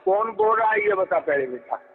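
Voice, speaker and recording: A man's voice: high-pitched, expressive, normal speed. A man arguing heatedly on the phone as a preacher, scolding, imperious and cutting in. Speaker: religious preacher. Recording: tinny phone call recording with hollow reverb.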